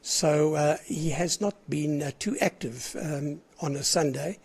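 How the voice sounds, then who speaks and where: An older man with a South African accent, hoarse with a cold, chatting as the controller of an amateur radio net and rambling between greetings. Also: on the high side, unhurried, darkish tone. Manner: chatty and rambling, clears his throat often. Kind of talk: casual on-air net chat.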